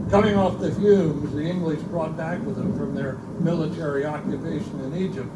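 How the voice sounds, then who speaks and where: A man playing a folksy, wisecracking keeper of a remote outpost library, chatty and theatrical, in pulp storytelling style at a live show. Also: a little high. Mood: storytelling relish. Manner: colloquial, chatty, theatrical, irreverent. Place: live in a bookstore. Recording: roomy distant live recording, loud background noise.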